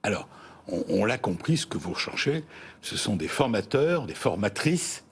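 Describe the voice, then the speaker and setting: Husky, lively male TV host. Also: lowish voice. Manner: jokey, teasing, interrupts with quick questions. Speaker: TV business show host. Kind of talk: TV interview.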